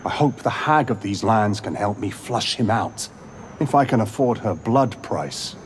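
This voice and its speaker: A man's voice: fairly low pitch, lively intonation, a little slow. A man playing a courteous, sardonic wandering monster hunter in a video game, speaking formally. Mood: grave. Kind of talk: video game character acting. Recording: clear background noise.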